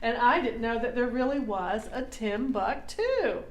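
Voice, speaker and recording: A woman's voice: a little high, expressive, measured pace. A woman hosting a children's puppet show, talking in a teacherly, scripted way to young children. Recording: echoey room.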